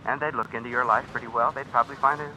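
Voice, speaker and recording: An actor in an old radio drama playing a smug, menacing blackmailer, a writer who talks with insinuating mock politeness. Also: male voice, medium pitch, fairly even intonation, rapid-fire, pushed and bright. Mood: calm menace. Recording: dull, noisy old radio recording.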